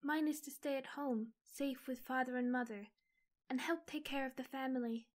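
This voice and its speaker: A shy, gentle girl who loves home, as an actress voices her. Her voice is high and quiet. She speaks softly and timidly. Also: steady tone, moderate pace, natural voice. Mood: content. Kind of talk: theatrical audio drama acting.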